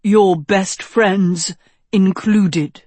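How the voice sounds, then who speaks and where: Old male wizard mentor, female reader, fantasy audiobook: shrewd, grave, deliberate, instructive. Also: medium pitch, mellow, husky, no echo. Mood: chiding.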